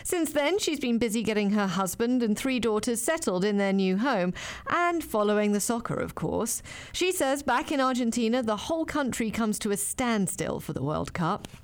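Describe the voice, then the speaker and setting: A woman radio reporter reading scripted news narration in a clear, lively way. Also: a little high, normal speed.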